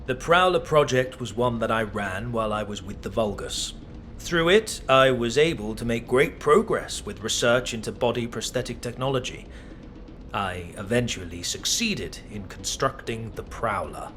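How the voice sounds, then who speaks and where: An actor playing a composed, calculating male scientist and war leader with formal, measured diction in a video game. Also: mid-range voice, animated, a bit bright, faint music behind, close to an everyday voice. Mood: grave, confessional. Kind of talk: dramatic video game voice acting.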